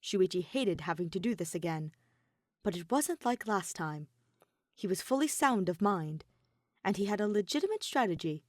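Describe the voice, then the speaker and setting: A woman reading dramatic fan fiction narration in a deliberate way, pausing between phrases. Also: mid-range voice, animated, dry recording, studio quality. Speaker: podfic reader.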